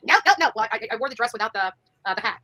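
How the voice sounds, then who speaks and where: A woman's voice: on the high side, lively intonation, rapid-fire, pushed and bright, no echo. A woman in her forties chatting bluntly and brashly on a livestream panel, laughing often. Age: 40s. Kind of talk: casual livestream chat.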